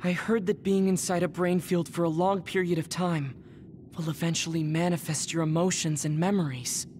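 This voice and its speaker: A woman's voice: on the low side, fairly even intonation, normal speed, darkish tone, slight background noise, lightly acted. A woman voicing a composed, analytical young soldier in an anime game, controlled and matter-of-fact. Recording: music and rain behind the voice.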